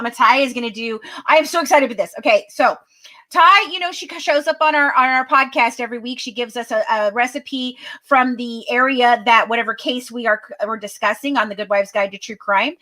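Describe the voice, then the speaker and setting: A woman co-hosting a live podcast stream, chatting excitedly with plenty of fillers and restarts. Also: a little high. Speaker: podcast co-host. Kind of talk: casual live chat.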